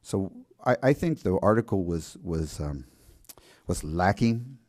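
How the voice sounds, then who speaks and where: A man speaking at a city council meeting in an earnest, halting way with many restarts. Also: low voice, expressive, a little mellow. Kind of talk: public meeting remarks.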